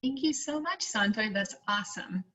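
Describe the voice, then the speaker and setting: A woman's voice: mid-range voice, lively intonation, moderate pace, sharp tone, breathy edge. A woman moderating a webinar panel, upbeat and appreciative as she puts a question to the next speaker. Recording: distant from the mic on a call.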